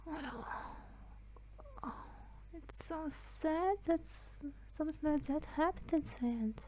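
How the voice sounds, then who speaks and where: A woman giving casual gameplay commentary, with a hesitant manner that turns soft in places. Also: high voice, slow pace, slight background noise, low-quality recording. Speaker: gameplay video creator. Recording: game music behind voice. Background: game music.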